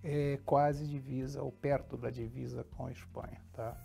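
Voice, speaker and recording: A man's voice: mid-range voice, flat delivery, moderate pace, gravelly, warm tone, soft background music. A middle-aged or older man, telling his story casually as an interview guest, with rambling asides and frequent tag questions. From born in Portugal, grew up in Rio de Janeiro, Brazil. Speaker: interview guest, technology executive.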